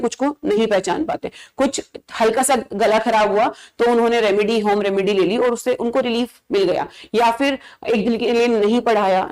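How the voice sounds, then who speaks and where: A woman with an Indian accent and a fairly high voice talks as a teacher addressing other teachers. She explains things emphatically in an informal lesson style, often slipping English phrases into her sentences. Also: a little mellow, rough recording. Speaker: biology teacher. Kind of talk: informal educational advice talk to fellow teachers.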